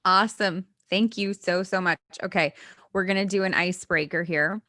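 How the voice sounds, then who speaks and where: A woman's voice: on the low side, fairly even intonation, normal speed, sharp tone, no echo, studio quality. Upbeat woman moderating an online panel. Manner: upbeat and scripted, with gushing greetings. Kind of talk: hosting an online panel discussion.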